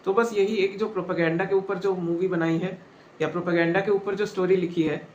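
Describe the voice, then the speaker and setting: A man giving a spoken film review, measured and thinking aloud as he goes. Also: high-pitched, fairly even intonation, darkish tone. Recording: roomy, dull sound with faint noise.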